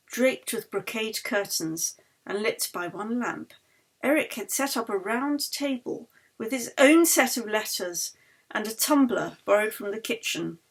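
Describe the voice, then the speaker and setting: A woman author reading her novel aloud as literary narration, measured and expressive. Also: mid-range voice, husky.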